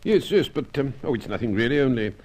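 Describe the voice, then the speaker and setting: An actor playing a courteous but prying English commander in an old radio serial, speaking with hesitant, fussy politeness. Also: male voice, mid-range voice, animated, quick pace, a little mellow, breathy edge. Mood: politely prying. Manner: hesitant, fussily polite probing.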